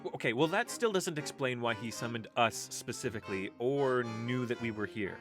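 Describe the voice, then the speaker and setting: A man's voice: mid-range voice, expressive, normal speed, over music, lightly acted. An actor playing a wry, exasperated young man in an audio drama, with a theatrical, sarcastic delivery. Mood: skeptical.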